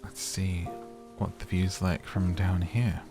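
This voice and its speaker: A man's voice: low voice, flat delivery, slow. A man casually commenting on a relaxing game as he plays, chatty and easygoing, speaking straight to his viewers. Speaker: gaming YouTuber. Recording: game music playing behind. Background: soft game music.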